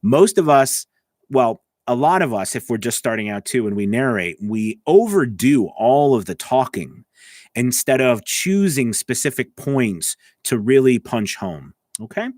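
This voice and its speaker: A man's voice: medium pitch, very animated, measured pace, a little mellow, no echo. Man coaching casually, dry close sound. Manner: conversational, explanatory, checks in with "okay?" and "right?". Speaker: voice-over coach and show host. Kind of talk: casual live tutorial.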